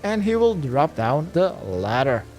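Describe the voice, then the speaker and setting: Game walkthrough narrator: mid-pitched, animated intonation, clear instructional delivery, faint music underneath. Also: a little slow. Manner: instructional, addresses the viewer with "let's". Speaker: video game guide narrator. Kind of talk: step-by-step game walkthrough commentary.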